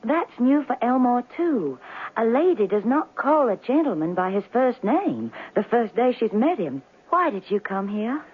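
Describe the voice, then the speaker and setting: A prim young sweetheart from a small town in a radio drama, teasing gently. Her fairly high voice moves expressively. It comes through thin, narrow-band broadcast sound. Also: very animated, moderate pace, slightly rough. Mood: coy, teasing. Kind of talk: old-time radio drama acting. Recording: muffled phone-like vintage radio sound.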